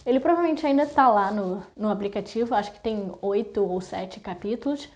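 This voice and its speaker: A young woman from Brazil talking casually to her viewers, listing things in quick chatty runs. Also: mid-range voice, darkish tone, muffled recording. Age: young adult. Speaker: vlogger. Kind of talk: casual talk to camera.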